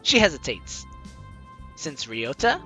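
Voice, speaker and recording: Female let's-play host, bright lively voice, swings between reading aloud and chatty reactions, faint music behind. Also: extremely low pitch, takes it slowly. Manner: animated, chatty reactions to the story. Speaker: gaming channel host. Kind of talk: casual let's play commentary, reading game text aloud. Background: game music.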